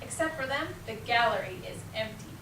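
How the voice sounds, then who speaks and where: A woman's voice: a little high, lively intonation, a little fast. A woman reading scripted narration for amateur reader's theater. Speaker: teacher, narrator. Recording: echoey room, distant mic, faint noise.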